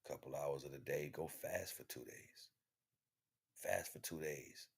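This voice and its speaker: A man's voice: rumbling low, a little slow, creaky, husky. A man giving a casual motivational wellness talk in an emphatic, slangy, streetwise way. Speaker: host.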